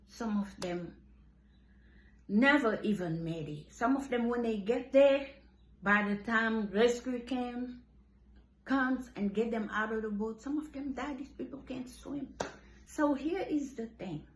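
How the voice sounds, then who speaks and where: A woman in her fifties gives an impassioned monologue. She repeats and restarts her phrases for emphasis. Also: mid-range voice. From Senegal. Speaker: commentator. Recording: roomy echo.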